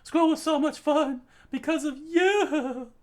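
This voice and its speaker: A woman voicing an anxious, excitable teenage boy who rambles and stumbles over his words. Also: high-pitched, takes it slowly. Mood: earnest. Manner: rambling, excitable, breaks into laughs.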